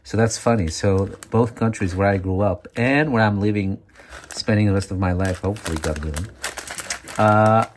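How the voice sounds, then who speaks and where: A deep-voiced man walks viewers through a recipe in a relaxed home-kitchen chat. His English comes with frequent ums and self-checks as he searches for words. A faint background noise sits under the voice. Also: moderate pace. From Morocco. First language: Amazigh. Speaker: cooking video host. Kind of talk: casual cooking demonstration.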